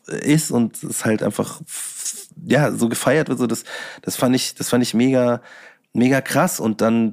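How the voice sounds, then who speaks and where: Male interview guest, medium pitch, loose slangy chat, searching delivery with animated bursts. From Bavaria, Germany. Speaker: guest, hip-hop DJ and workshop teacher.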